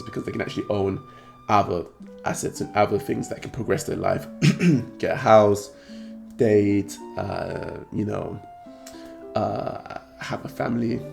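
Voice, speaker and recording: A man with a British accent hosting a trading card channel, talking casually to camera in a croaky, hoarse voice, rambling and clearing his throat often. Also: on the low side, darkish tone. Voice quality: gravelly.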